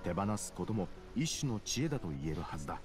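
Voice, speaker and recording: A man's voice: low voice, very animated, fast talker. A man voicing a middle-aged scholar in a video game, nervous yet self-important, with a fussy academic manner. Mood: advising. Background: game music.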